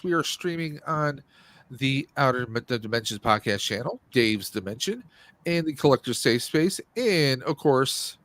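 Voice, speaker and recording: A man's voice: on the high side, a bit bright, no echo. A man in his forties hosting a casual livestream podcast, chatting informally with jokey asides. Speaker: podcast host. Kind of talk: casual livestream chat about movies and games.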